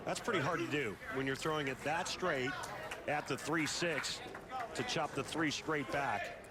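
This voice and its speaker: A man's voice: fairly high pitch, a little slow, very noisy. Easygoing man analyzing bowling technique. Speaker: color commentator.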